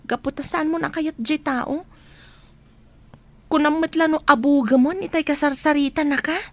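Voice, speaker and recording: A woman's voice: high voice, steady tone, normal speed, no echo. A woman acting in a radio drama as a nosy but caring young friend, with a Filipino Ilocano accent. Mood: probing.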